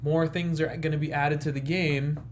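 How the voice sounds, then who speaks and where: A man's voice: on the high side, steady tone, moderate pace. A man co-hosting a casual panel, giving opinions in a sceptical, grumbling way. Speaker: panel co-host.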